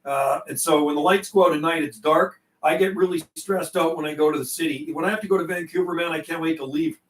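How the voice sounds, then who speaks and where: High-voiced man on CB radio. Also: steady tone, quick pace. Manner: repeats and relays messages, slangy. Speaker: CB radio operator and livestream host. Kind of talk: casual radio relay chat. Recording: roomy, distant microphone.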